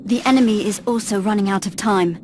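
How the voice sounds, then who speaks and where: An actress playing a noble, righteous princess in a game cutscene, earnest, formal and dramatic. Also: female voice, mid-range voice, a little fast, darkish tone, natural voice. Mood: solemn, pleading. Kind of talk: video game cutscene acting. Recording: background noise and ambience.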